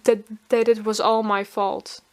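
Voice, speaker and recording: A woman's voice: medium pitch, little pitch movement, measured pace, clean tone. A teenage girl narrating her own story, stuttering often on first sounds of words. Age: teens. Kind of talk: pre-recorded personal narration.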